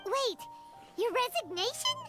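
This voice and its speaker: A woman voicing a small childlike fairy companion in a video game, chatty and blunt, with a chirpy, squeaky voice. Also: very animated, measured pace, heavily acted. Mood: puzzled. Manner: speaks of herself in third person, chirpy. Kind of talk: video game character dialogue. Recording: loud background music.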